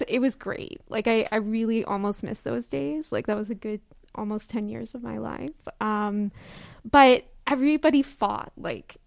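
A woman with a somewhat high voice, chatting casually and at length. She rambles and leans on filler words between thoughts. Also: gravelly. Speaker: guest, online group moderator. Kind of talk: casual discussion. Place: remote call.

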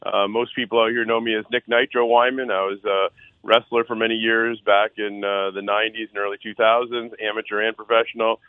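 A middle-aged man from Six Nations in Canada, a council candidate on a phone-in radio interview, earnest and loud-voiced, with many uh fillers and restarts. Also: fairly low pitch, level tone, brisk, no echo. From Six Nations, Ontario, Canada. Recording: dry tinny phone line.

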